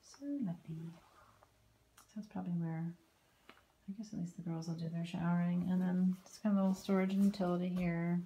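A woman with a low, gravelly and mellow voice gives a chatty walking tour of a house. Her remarks often drop into soft asides. It is recorded on a handheld phone, with faint ambient noise behind her. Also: fairly even intonation, fairly quick, low-quality recording. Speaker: home tour vlogger. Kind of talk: casual walking home tour. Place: inside a house and out in its backyard. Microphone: phone camera held while walking.

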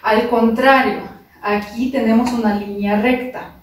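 A young woman, not a native speaker, teaching dance steps with careful, halting phrasing and counted beats, in a large living room. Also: a little high, fairly even intonation, slight background noise. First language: not Spanish. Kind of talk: slow step-by-step dance instruction, counting beats. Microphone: far camera. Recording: echoey room from far off.

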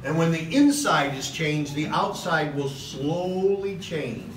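A middle-aged man preaching a sermon from a podium in a large church hall, passionate, with "okay?" asides and slow emphatic lines. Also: fairly high pitch, lively intonation. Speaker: pastor. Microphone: far camera in the hall. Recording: echoey hall recorded from a distance with noise.

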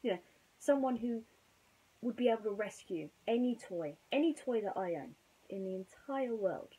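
Young female storyteller, husky, theatrical but informal children's reading. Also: fairly high pitch, lively intonation, normal speed, warm tone, low-quality recording. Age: young adult. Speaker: bedtime storyteller. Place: small bedroom, indoors.

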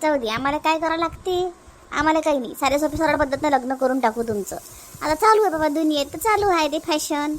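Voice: high voice, fast, fairly bright tone. A performer voicing a fussy, talkative, practical village mother in a Marathi family cartoon, with a Varhadi accent and a homely, rambling manner. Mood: approving, opinionated. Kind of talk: comic family cartoon dubbing.

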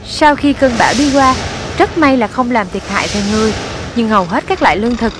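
A woman's voice: a little high, brisk, close to an everyday voice. Woman as serious cartoon newsreader, noisy sound. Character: serious female news reporter in a cartoon. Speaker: news reporter. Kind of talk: scripted news report.

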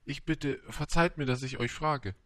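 A man's voice: on the high side, normal speed, fairly bright tone, no echo, voice pushed up, lightly acted. A man voicing a shy, polite, puzzled boy prince in a storybook, timid and questioning. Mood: shy, curious.

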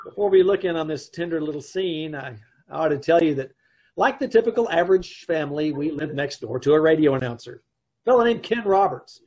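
A man performs a wry married storyteller from an old-time radio comedy. His voice sits a little high with a husky edge. He delivers his wife's antics deadpan. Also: brisk, poor audio. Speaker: narrator and husband in a radio comedy. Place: home room, on a video call. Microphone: video call.

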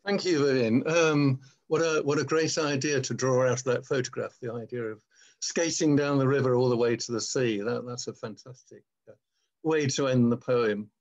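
An older man, a poet, speaking in a measured way as he presents his poetry at an online reading. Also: medium pitch, fairly even intonation, darkish tone, lo-fi sound. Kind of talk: introducing and reading own poem at an online poetry event.

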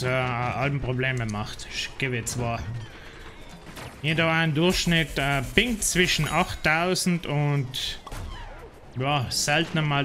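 A man's voice: a little high, pushed and bright, slight background noise. A man with a South Tyrolean German accent, rambling and complaining in casual chat while streaming a game. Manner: rambling, complaining, many fillers. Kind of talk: casual gameplay livestream chat.

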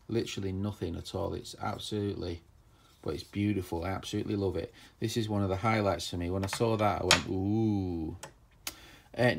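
A man's voice: on the low side, creaky. A man chatting casually and enthusiastically as he shows off old tools, rambling with plenty of fillers. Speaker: tool collector vlogger. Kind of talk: casual show-and-tell narration.

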